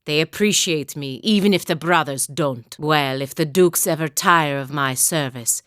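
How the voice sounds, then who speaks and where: An actress playing a proud, stern, dutiful woman warrior in a fantasy video game, speaking in a formal, wry, measured manner. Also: deep voice, fairly bright tone, no room sound, clean studio sound. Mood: resolute. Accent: Valian, a lightly Italian-sounding fantasy accent. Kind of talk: scripted game dialogue, voice lines and barks.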